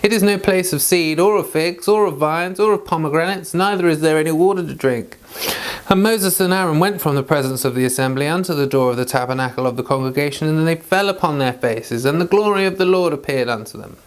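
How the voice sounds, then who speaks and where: Clear, higher-voiced man reading aloud. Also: a little fast. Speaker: Bible reader and commentator. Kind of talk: scripture read aloud, with informal asides.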